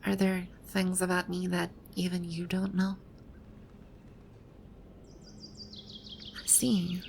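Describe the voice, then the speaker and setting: Woman acting proud game boss lady, low voice, gravelly fry, smug and teasing, intimate soft-spoken ASMR roleplay. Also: completely flat delivery, normal speed, slight background noise. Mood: smug, guarded. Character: teasing, proud video game villainess boss brought to life, secretly vulnerable. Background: birdsong and outdoor ambience sound effects.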